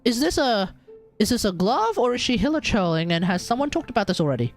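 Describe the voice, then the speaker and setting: A woman streaming a game with casual, excitable commentary. Her voice is on the high side and moves around a lot in pitch. She often repeats herself, with soft music behind her. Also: fast.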